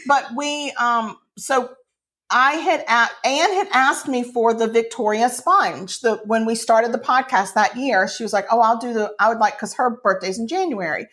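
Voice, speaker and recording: An American woman co-hosting a podcast, chatting casually in a loud, booming voice and laughing often. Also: normal speed. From United States. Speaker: podcast co-host appearing as guest. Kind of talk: casual chat.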